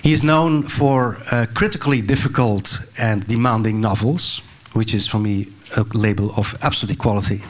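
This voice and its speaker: A man's voice: lowish voice, normal speed, hardly any pauses. An older man introducing and interviewing an author on stage, earnest, with restarts and fillers, into a handheld mic. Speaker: moderator. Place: stage of a large hall.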